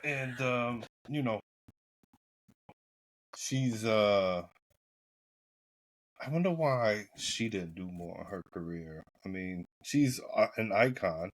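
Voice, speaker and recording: A man's voice: fairly low pitch, slow, long pauses, no room sound, poor audio. A man co-hosting a podcast, chatting casually about an old movie in a laid-back way with short agreeing replies. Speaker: podcast co-host. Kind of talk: casual movie review chat.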